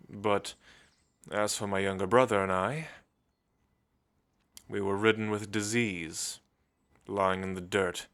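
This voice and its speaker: Casting: male actor as languid, world-weary immortal vampire for ASMR roleplay; lowish pitch, very unhurried, teasing elegance. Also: fairly bright tone. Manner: slow, theatrical, suave, with musing pauses.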